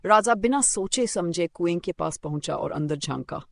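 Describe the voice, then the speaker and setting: A man's voice: sits high, lively intonation, moderate pace, vocal fry, a bit bright, no echo. A man narrating a scripted moral story for children as a storyteller.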